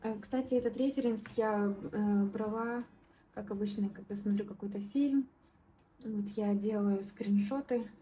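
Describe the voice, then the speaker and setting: A woman's voice: medium pitch, fairly even intonation, unhurried, warm tone, slight background noise, rough recording. A young woman from southern Moldova, chatting casually and rambling with many fillers and restarts while she paints for her vlog. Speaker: art vlogger.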